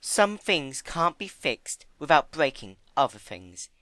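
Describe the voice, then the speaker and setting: A man voicing a timid, gentle female pony with a soft, hesitant, earnest delivery in a story reading. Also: fairly high pitch, very animated, takes it slowly, pitched above the performer's own voice, full character voice. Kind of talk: dramatic fan-fiction reading.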